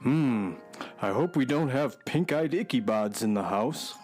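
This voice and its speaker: A man's voice: mid-range voice, expressive, measured pace, over loud music, lightly acted. A man playing a sensible, dryly wry horse sidekick in a children's radio drama.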